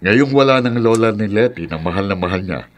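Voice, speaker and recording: A man's voice: lowish voice, expressive, fairly quick, lightly acted. A man playing a concerned, sceptical father of grown daughters in a radio drama, questioning and reassuring. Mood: puzzled. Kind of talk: horror radio drama acting. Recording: clear background noise.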